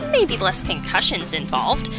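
A woman playing a teasing, dependable teenage schoolgirl in an audio drama, expressive in delivery. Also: mid-range voice, unhurried, music in the background. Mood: caring.

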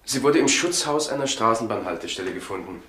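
An actor playing a measured male police investigator, factual and probing, in a German radio crime drama. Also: lowish voice, animated, a bit bright. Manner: factual, short questions. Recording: echoey, faintly noisy radio recording.

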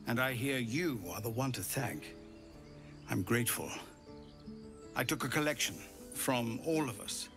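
An actor playing a weary, formal leader of refugees who thanks the hero. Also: male voice, mid-range voice, lively intonation, a little slow, creaky, pauses often, music in the background. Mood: grateful. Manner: earnest, formal, grateful.

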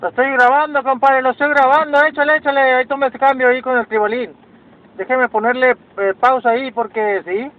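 High-pitched man on CB. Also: fairly even intonation, brisk. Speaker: amateur CB radio operator recording a video. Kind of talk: casual CB radio chat. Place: inside a moving vehicle. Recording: muffled lo-fi phone recording inside vehicle.

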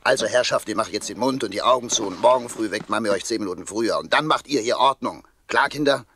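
A man's voice: on the low side, fast talker, bright, forceful tone. An actor playing a good-humoured but firm, mock-stern father in a children's radio play, with faint hiss behind.

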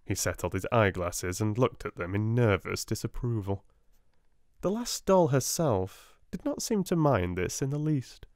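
Soft-spoken British man reading a storybook. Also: on the low side, no echo, clean studio sound. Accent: British English. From Britain. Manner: gentle, calm and unhurried. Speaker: audiobook narrator. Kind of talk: soft-spoken read-along narration. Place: indoors at a table. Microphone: close mic over a book on a table.

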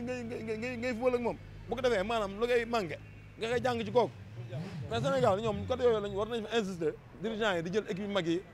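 A high-voiced Senegalese man gives his views in a street interview, speaking fast and emphatically. He repeats words as he presses his point. City street noise is clearly heard around him. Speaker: street interviewee, football fan. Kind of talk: impassioned street interview opinion. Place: busy city street, outdoors. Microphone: handheld interview microphone. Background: traffic and street ambience.

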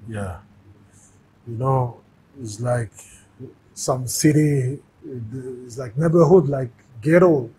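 A man from Cameroon in his forties with a French accent, speaking in halting, simple English with many fillers as an interview guest in a boxing gym. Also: mid-range voice, mellow, a little hiss or hum, poor audio. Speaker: guest, former fighter. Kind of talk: casual interview. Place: boxing gym, seated in ring.

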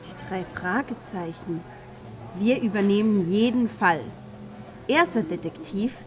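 A woman's voice: medium pitch, unhurried, faint music behind, close to an everyday voice. A young woman playing a confident, teasing newcomer in film dialogue, with a playful, forward manner. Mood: curious. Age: young adult. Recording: clearly noisy location background.

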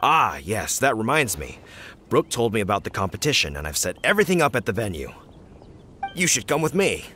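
A man's voice: lowish voice, big pitch swings, fairly quick, noisy background. A man playing a genial, formal village contest judge in a video game, speaking grandly and courteously. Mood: friendly.

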